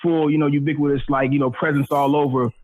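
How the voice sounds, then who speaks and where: A man's voice: fairly high pitch, level tone, fast talker, a little mellow, rough recording. A middle-aged man, a radio professional, chatting as a podcast guest in an easygoing, slangy, explanatory way. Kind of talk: casual conversational interview.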